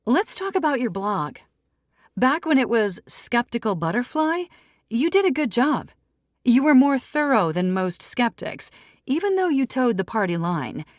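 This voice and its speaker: A composed female tabloid editor in an audiobook, acted by a woman narrator. She is assured and pointed, speaking in a raised pitch with a dry, close sound. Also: very animated, measured pace, no echo. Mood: pointed, cool. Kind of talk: audiobook character dialogue.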